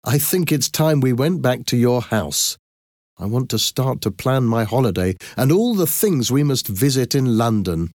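A man acts out an excitable young woman from a storybook, determined and cheerful. The voice is raised in pitch and swings eagerly with emphatic stress, in a dry, close sound. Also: moderate pace, no room sound, close to an everyday voice.

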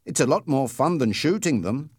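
A man's voice: medium pitch, animated, normal speed, slightly rough, no room sound, clean studio sound. A man voicing a careful, reasoning boy who protests against bullies in an audiobook story. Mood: calm.

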